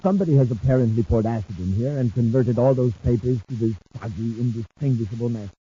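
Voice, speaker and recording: A man's voice: mid-range voice, fast, mellow, slightly rough, natural voice. An American man playing a nervous, fussy government office manager, hesitant and precise, in a 1950s radio serial. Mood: grave. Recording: muffled 1950s radio recording.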